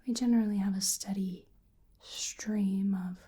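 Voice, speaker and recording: A woman whispering softly and breathily in an ASMR roleplay as a polite, curious researcher doing a gentle exam. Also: mid-range voice, steady tone. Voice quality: breathy whisper and soft speech. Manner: gentle, hesitant, polite, unhurried.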